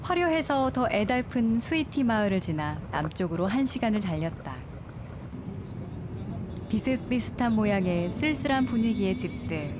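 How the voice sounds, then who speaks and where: A woman reading scripted travel documentary narration in a calm, measured way, recorded as a studio voice-over. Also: medium pitch, noisy background. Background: music.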